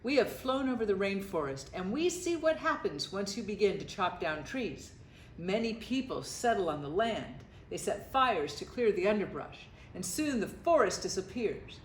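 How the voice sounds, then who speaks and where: A woman's voice: mid-range voice, very animated, moderate pace, reverberant, a little hiss or hum, natural voice, rough recording. A woman voicing an earnest toucan giving a solemn warning in a storybook.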